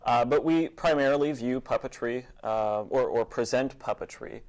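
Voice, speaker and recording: A man being interviewed as an executive director, answering conversationally in a measured, matter-of-fact way. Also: mid-range voice, darkish tone. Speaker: executive director of a nonprofit theater, interview guest.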